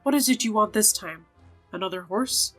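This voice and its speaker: A woman's voice: medium pitch, animated, moderate pace, fairly bright tone. Game role: aloof otherworldly ringmaster read by a woman, male, theatrical, languid, condescending, faint music behind. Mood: scornful, aloof. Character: flamboyant, aloof male otherworldly circus showman.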